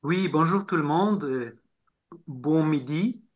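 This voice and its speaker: Man, Hebrew speaker, author guest, slightly high voice, halting, repeats words. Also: muffled recording. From Israel. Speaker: novelist guest. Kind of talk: interview answers.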